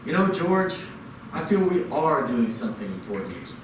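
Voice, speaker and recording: An actor playing a calm, kindly middle-aged father who runs a small loan office. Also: medium pitch, moderate pace, close to an everyday voice. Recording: echoey room, far from mic, noisy.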